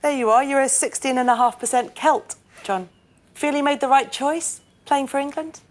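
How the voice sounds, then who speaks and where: A woman hosting a lively panel conversation and presenting results, with a teasing manner and frequent laughter. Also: high voice, moderate pace. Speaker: host presenting DNA results.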